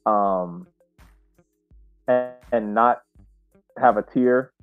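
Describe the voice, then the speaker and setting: Male sports podcast co-host, earnest and measured, conversational. Thin telephone-like audio with a faint music bed. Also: fairly low pitch. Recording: narrow phone-like sound, faint music.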